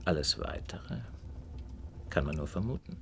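A man acting a self-assured, keen-minded detective in a radio drama, friendly in a condescending, deliberate way. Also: very deep voice, very animated, gravelly, slight background noise, heavily acted. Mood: confident deduction.

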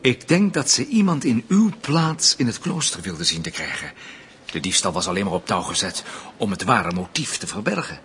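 A man playing a patient but insistent police investigator with a husky voice, in a radio drama. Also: on the low side, big pitch swings, normal speed, a bit bright. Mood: reassuring. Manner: insistent, prompting, courteous. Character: probing, patient male police investigator questioning a victim.

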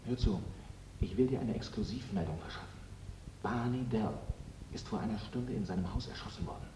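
An actor playing a matter-of-fact male newsman in a German radio drama, speaking briskly as if on a telephone. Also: lowish voice, natural voice. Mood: businesslike. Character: businesslike male newsman phoning in an exclusive. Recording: noisy, echoey radio recording, distant mic.

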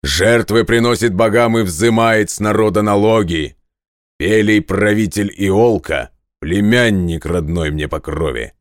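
A man playing a mighty, ageless thunder god in an audio fairy tale, commanding and clearly spoken. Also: no echo, clean studio sound, close to an everyday voice. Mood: stern, menacing. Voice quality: very deep, resonant, clearly enunciated. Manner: weighty, commanding, deliberate.

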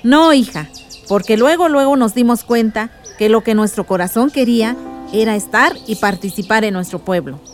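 An actress playing a warm, reflective aunt who recalls her village past in a nostalgic radio drama. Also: on the high side, normal speed, soft background music, lightly acted. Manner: nostalgic, storytelling.

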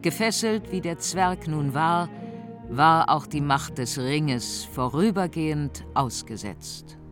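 A man narrating a scripted fantasy radio play as a measured storyteller. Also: fairly high pitch. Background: music.

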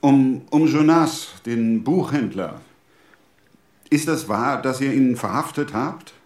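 A worried townsman played by an actor speaks slowly and hesitantly in a roomy, echoing space. His concern is plain, in radio play style. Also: medium pitch, darkish tone, lightly acted. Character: worried townsman anxious about his missing bookseller neighbour. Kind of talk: radio drama dialogue.

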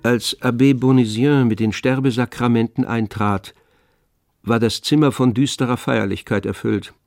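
Grave male narrator with a creaky voice. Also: mid-range voice, fairly even intonation, a little mellow, dry recording, faint music behind. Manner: measured, grave. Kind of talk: literary narration in a radio drama.

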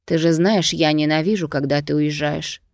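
A man's voice: a little high, steady tone, moderate pace, vocal fry, no echo, studio quality. Woman narrator as a domineering rich Greek man. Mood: persuasive. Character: domineering wealthy Greek lover, possessive and impatient.

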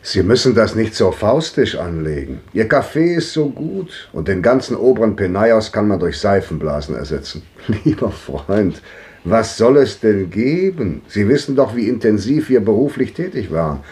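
Radio play casting: sceptical old gentleman, worldly, urbane, fairly low voice. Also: big pitch swings, normal speed. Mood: amused, resigned. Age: older. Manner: urbane, aphoristic. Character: worldly, sceptical old man gently mocking his friend. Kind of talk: 1950s German radio drama acting.

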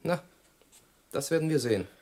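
An actor playing a confident, defiant idealistic engineer in a German radio drama, brash and assured. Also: male voice, fairly high pitch, expressive, moderate pace, warm tone. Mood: challenging. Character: confident, defiant male engineer, naively heroic idealist Adam.

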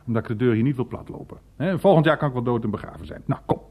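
An actor playing a blunt, nosy older uncle in a radio play, with an insistent, wry, colloquial way of talking. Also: male voice, mid-range voice, rapid-fire, darkish tone, slightly rough, close to an everyday voice.

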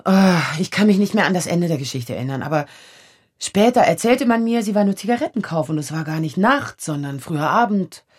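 A low, strongly husky female voice for a radio drama. She is a woman in her thirties, vulnerable and searching, speaking in a natural, breathy way. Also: lively intonation, brisk. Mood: haunted, remembering. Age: 30s. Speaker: lead actor.

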